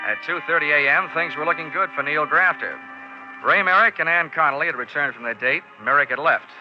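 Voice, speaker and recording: An American actor playing a wry, hard-boiled insurance investigator in a deadpan 1940s radio detective style. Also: male voice, fairly high pitch, a little fast, lo-fi sound, natural voice. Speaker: lead actor and narrator. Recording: band-limited 1940s radio, music behind.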